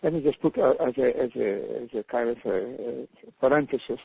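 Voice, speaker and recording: A man's voice: mid-range voice, fairly quick. An older man from Hungary, speaking English with a Hungarian accent, talks earnestly on a panel as an author calling in by phone, often repeating words as he searches for them. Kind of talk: reflective panel talk by phone. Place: calling in from home abroad. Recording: husky tinny phone line.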